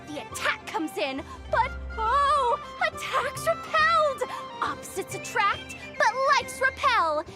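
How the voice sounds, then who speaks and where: A woman voicing a cocky, excitable little girl in a video game, with brash announcer-style delivery over music. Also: very high voice, takes it slowly, few pauses, heavily acted. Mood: theatrical, excited. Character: excitable little girl robot battler in moon goddess costume, cocky. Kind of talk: animated game voice acting. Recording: music behind the voice.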